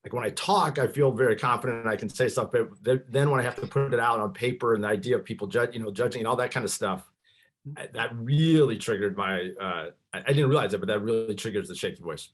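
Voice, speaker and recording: A man's voice: mid-range voice, fast, breathy edge. A man participating in an online workshop, sharing casually and hesitantly over a video call, stumbling over his words.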